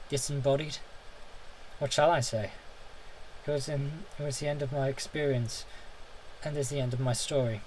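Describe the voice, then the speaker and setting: A man's voice: mid-range voice, a little mellow, slight background noise, low-quality recording. A man narrating a horror story in the first person, intense and theatrical, now and then stumbling over a word. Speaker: audiobook reader.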